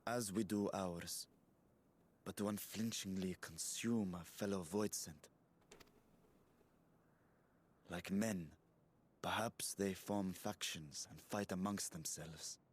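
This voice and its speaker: An actor playing a grave, determined warrior in a fantasy video game, speaking in a solemn, formal way. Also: male voice, low-pitched, fairly even intonation. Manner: solemn, measured, formal speech. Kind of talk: dramatic video game voice acting.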